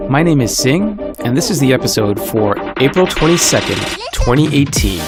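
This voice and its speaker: A man hosting a solo podcast, a little stuffy from a cold, talking in an earnest, upbeat sales-pitch way. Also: animated, normal speed, over loud music. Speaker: podcast host. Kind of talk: solo crypto podcast monologue.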